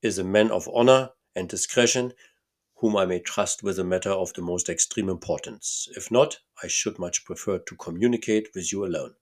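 A man voicing a huge, masked male nobleman with a deep, harsh voice and a German accent, speaking formally and emphatically. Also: moderate pace. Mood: imperious.

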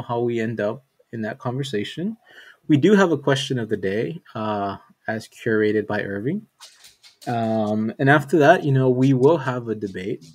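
A man hosting a casual sports podcast, talking in a relaxed way with lots of fillers and repeated words. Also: medium pitch. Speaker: podcast host.

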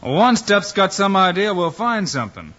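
An actor playing a calm, heroic American cowboy lawman in an old radio western. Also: high voice, moderate pace. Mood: calm, firm. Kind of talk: 1950s radio western acting. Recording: noisy, muffled old radio recording.

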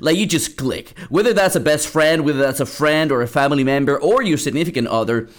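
A man's voice: fairly high pitch, fairly quick. A young man from Peru hosting a podcast, telling a casual story with lots of restarts and fillers. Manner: rambling, many restarts and fillers. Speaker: podcast host.

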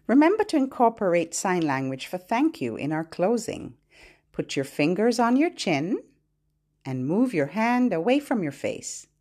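A middle-aged or older woman hosting a children's podcast, giving scripted, expressive, instructional narration aimed at parents and babies. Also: medium pitch, unhurried.

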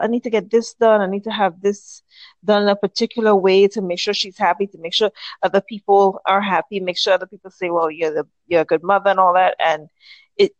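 A woman in her thirties from the Caribbean is a guest on a casual podcast. She speaks in a thoughtful, measured way and restarts her sentences often. The recording is dry and close. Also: medium pitch, no echo, poor audio. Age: 30s. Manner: thoughtful, measured, many restarts while finding words. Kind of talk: casual interview chat.

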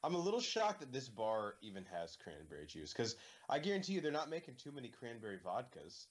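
A man's voice: medium pitch, huge pitch swings, brisk. A man co-hosting a casual movie commentary, joking, swearing, and slipping into mock voices. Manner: jokey, profane, acts out mock voices. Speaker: podcast co-host.

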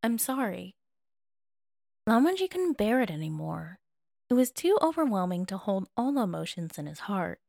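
Woman reading a story audiobook style, medium pitch, really dry close sound. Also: very animated, a little fast, creaky, darkish tone, dry recording. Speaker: audiobook narrator. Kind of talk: expressive scripted fiction narration.

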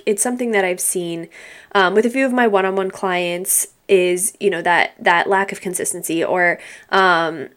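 A woman's voice: lowish voice, a bit bright. A young woman with a stuffy, congested nose hosting her podcast in a chatty, rambling way, with frequent ums and little laughs. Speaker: podcast host. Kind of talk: casual solo podcast talk.

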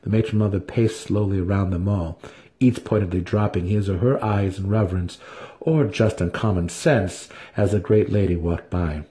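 A man's voice: low voice, steady tone, normal speed, mellow. A man giving a dramatic scripted narration as an audiobook reader.

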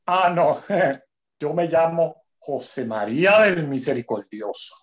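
Adult voicing an eager, slow-spoken young boy. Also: medium pitch, animated. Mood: shy. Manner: halting, effortful when reading. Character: eager young boy, earnest pupil struggling to read. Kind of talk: radio drama acting.

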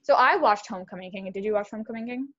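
Young woman, casual podcast chat, animated, lots of "like", dull lo-fi recording. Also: medium pitch. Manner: fast, animated, heavy use of "like". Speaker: podcast co-host.

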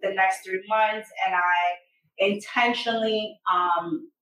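A woman's voice: mid-range voice, fairly even intonation, slow, sharp tone, a little hiss or hum. A woman guest on a podcast, a little stuffy and nasal, chatting casually with many fillers. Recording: echoey room, mic at a distance.

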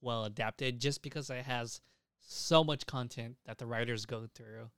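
A man's voice: mid-range voice, steady tone, normal speed, a bit bright, smooth, no echo, clean studio sound. A man hosting a casual podcast, talking enthusiastically and swearing freely as he goes. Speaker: podcast host.

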